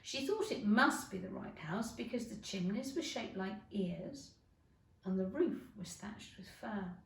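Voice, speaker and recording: A woman narrating a children's story in a measured, careful read-aloud style. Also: fairly high pitch, creaky, far from the mic. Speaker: storybook reader. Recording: echoey room.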